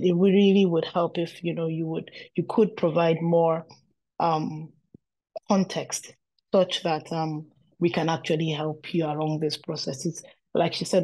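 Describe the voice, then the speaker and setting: Woman host giving faith advice, pretty flat delivery, thinking as she goes. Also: fairly low pitch, low-quality recording. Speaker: host and counsellor.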